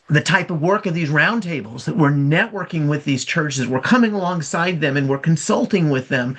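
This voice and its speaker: Man, gravelly, slightly high voice, earnest facilitator, repetitive. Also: fairly bright tone. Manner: earnest, repetitive, with thankful asides.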